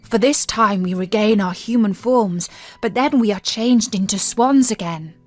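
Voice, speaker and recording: A woman's voice: mid-range voice, a little slow, faint music behind, close to an everyday voice. A woman voicing grave young enchanted brothers in a storybook, solemn and emphatic as they speak. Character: grave enchanted young brothers warning their little sister. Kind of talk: storybook character voice.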